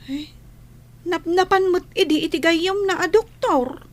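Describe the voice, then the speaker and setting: A woman's voice: very high voice, measured pace. A woman playing a sympathetic, deferential employee talking to her boss in a radio drama. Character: sympathetic female employee of a businessman. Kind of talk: Ilocano radio drama acting.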